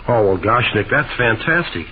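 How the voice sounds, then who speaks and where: Man acting an excitable, admiring radio sidekick. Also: mid-range voice, normal speed, close to an everyday voice. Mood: amazed, curious. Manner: eager, wide-eyed, questioning. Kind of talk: old-time radio mystery acting. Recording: dull, noisy old radio broadcast recording.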